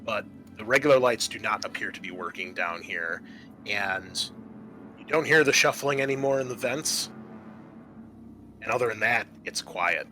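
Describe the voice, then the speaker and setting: A man working as game master, narrating a tabletop horror game in a halting, explanatory way. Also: fairly low pitch, bright, forceful tone, faint music behind.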